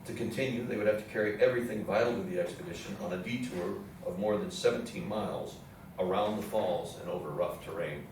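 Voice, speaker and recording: A man's voice: low voice, a little fast. A man reading scripted historical narration as the narrator of a stage reading. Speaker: narrator in a readers theatre play. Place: indoor theatre room with audience program. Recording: echoey hall, distant mic, faint noise.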